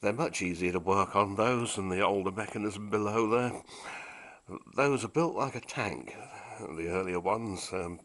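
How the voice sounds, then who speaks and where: A British man with a very low-pitched voice talks casually, as if showing a gadget to a friend. His delivery is chatty and unscripted, with a wry, understated manner. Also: a bit bright. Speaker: presenter demonstrating vintage audio equipment. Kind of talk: casual unscripted demonstration chat.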